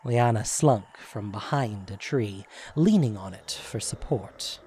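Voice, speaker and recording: A man narrating a scripted audio drama in an expressive, measured storytelling manner. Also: lowish voice, breathy edge.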